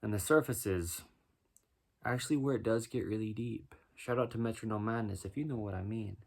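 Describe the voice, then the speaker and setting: A man with a deep voice doing a rhyming spoken-word freestyle, musing and preaching as he goes. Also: a little fast, gravelly, a little mellow. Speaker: freestyle musician.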